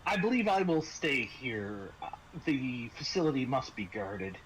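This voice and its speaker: A polite but guarded android, acted by a man in a tabletop game. The delivery is slow, stiff and formal, in a mid-pitched male voice with some noise behind it. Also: big pitch swings. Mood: firm, formal. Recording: noticeable background noise.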